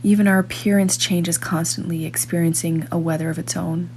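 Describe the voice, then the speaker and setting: A woman reads a guided meditation in a soft-edged, mellow tone that sits a little low. She is calm and measured, leaving long pauses between phrases. A faint noise and hum runs behind her voice. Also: gravelly. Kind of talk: guided meditation, scripted narration.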